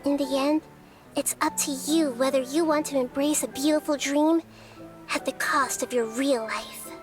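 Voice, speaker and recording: Woman voicing a small gentle goddess, high soft voice, thoughtful and wise, game music behind. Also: fairly even intonation, moderate pace, close to an everyday voice. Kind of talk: video game voice acting.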